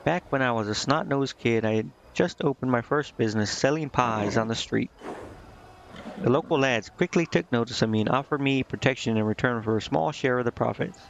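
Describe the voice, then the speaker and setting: A man reads out a brash, shrewd tiefling shopkeeper from a fantasy game, one-eyed and worldly. The voice has a gravelly fry at a middling male pitch, delivered plainly with occasional stumbles. It sounds a little dull and lo-fi. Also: brisk, a little hiss or hum, close to an everyday voice. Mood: matter-of-fact, reminiscing. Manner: plain reading of scripted dialogue with occasional stumbles.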